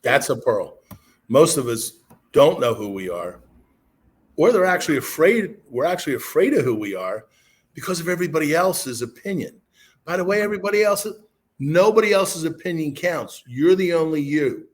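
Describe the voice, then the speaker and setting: A man with a raspy voice hosting a podcast interview, encouraging and motivational, rambling a little as he asks his questions. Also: on the high side, sing-song, normal speed. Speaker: podcast host.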